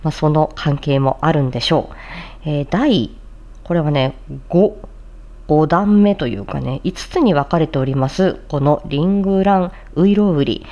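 A woman's voice: low-pitched, moderate pace, warm tone, lo-fi sound. A woman voice performer presenting a practice show, explaining and demonstrating recitation with attention to articulation. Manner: articulation-focused, demonstrating and explaining tricky phrases. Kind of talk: casual explanatory talk with formal script recitation.

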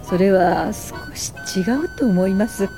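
A woman playing a composed, politely firm older welfare pioneer in a radio drama, with measured narration and dialogue. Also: mid-range voice, lively intonation, music in the background, natural voice. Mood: calm, polite.

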